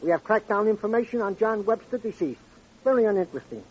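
An actor playing a friendly, comically formal police sidekick with florid phrasing and a husky voice in an old radio drama. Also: male voice, sits high, expressive, fast. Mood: brisk, official. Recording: dull old radio recording.

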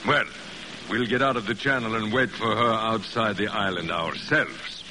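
An actor playing a confident, gloating submarine captain in an old radio drama. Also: male voice, fairly low pitch, normal speed, natural voice. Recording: noisy old radio broadcast recording.

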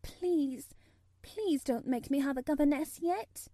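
Lady doing a shy little girl from a storybook, high voice, kinda stiff, shaky and pleading, very lilting. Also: animated, unhurried, dark tone, no echo, studio quality. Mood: timid, pleading. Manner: tremulous, stammering, pleading. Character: timid, stiff, earnest little girl, thin and plain.

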